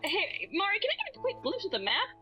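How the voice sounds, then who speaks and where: Female tabletop player, very lively intonation, bright voice, slightly lo-fi capture, casual roleplay. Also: very high-pitched, fast. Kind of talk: casual in-character roleplay chat. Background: background music.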